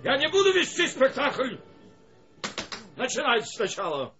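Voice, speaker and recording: Разъярённого дирижёра, очень высокий голос. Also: female voice, sits high, sing-song, a little slow, muffled recording, over music. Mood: furious. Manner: shouting, imperious, exasperated. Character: furious tyrannical orchestra conductor berating musicians at rehearsal. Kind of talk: theatrical radio play acting.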